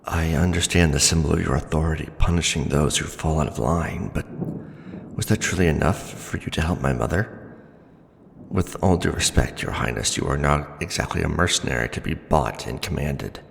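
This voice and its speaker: A man's voice: a bass voice, normal speed, vocal fry. A man voicing a grim, earnest young orphaned avenger in a fantasy ASMR roleplay, speaking solemnly and formally, respectful but challenging. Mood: respectful, wary. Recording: echoing reverb with background noise.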